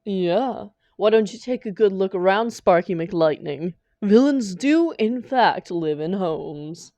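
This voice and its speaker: A woman playing a sardonic, hot-tempered supervillain with a soft spot for her partner in a comic roleplay audio drama. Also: medium pitch, animated, takes it slowly, no room sound. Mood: sarcastic, irritated. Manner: sarcastic, dramatic, affectionate with partner.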